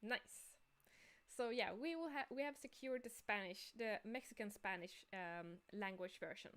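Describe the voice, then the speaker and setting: A woman's voice: mid-range voice, moderate pace, a bit bright, smooth. A woman chatting informally and enthusiastically as she hosts a livestream about her own creative work. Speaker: game creator and artist hosting a livestream. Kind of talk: casual livestream chat.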